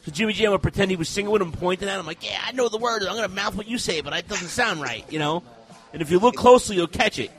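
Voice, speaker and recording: A man co-hosting a casual wrestling podcast, talking in a rambling, animated way with many restarts. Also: sits high, fast talker, faint music behind. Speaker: podcast co-host.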